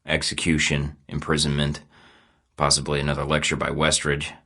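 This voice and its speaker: A man's voice: rumbling low, monotone, moderate pace, bright, forceful tone, breathy edge, no room sound, heavily acted. An actor with an American accent playing a sarcastic young male spy agent in a video game, trading dry, wisecracking quips. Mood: wry.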